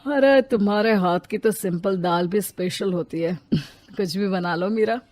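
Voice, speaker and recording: A woman's voice: medium pitch, a little slow, a little mellow, lightly acted. A woman playing a moody, short-tempered young husband in a cartoon, with a condescending manner. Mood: teasing, fond.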